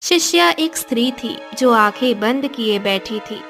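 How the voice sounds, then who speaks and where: A woman's voice: fairly high pitch, expressive, a little slow, fairly bright tone. A woman reading scripted narration for a storybook cartoon, with music behind her. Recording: background music under the voice.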